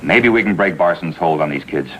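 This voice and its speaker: American juvenile cop, low voice, rapid, businesslike, exasperated, hissy vintage TV sound. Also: male voice, lively intonation, a bit bright, low-quality recording. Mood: weary, factual. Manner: brisk, factual. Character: businesslike, exasperated male juvenile police officer. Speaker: police juvenile officer. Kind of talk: 1950s police drama acting.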